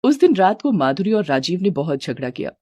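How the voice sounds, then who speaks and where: A woman's voice: fairly low pitch, very animated, brisk, darkish tone. A woman reading a scripted story as its narrator.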